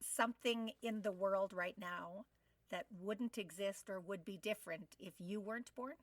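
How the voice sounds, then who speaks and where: A woman's voice: medium pitch, normal speed, no echo, recorded from a distance. A middle-aged woman co-hosting a casual interview over a video call, speaking in an enthusiastic, effusive way. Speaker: podcast co-host. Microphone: video call microphone.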